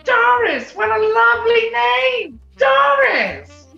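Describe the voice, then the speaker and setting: Gushing American club chanteuse, high and bright, cooing melodrama, 1940s radio comedy. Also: female voice, big pitch swings, takes it slowly, gravelly, reverberant, lo-fi sound, faint music behind, heavily acted. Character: gushing, theatrical young female nightclub singer, gangster's fiancée.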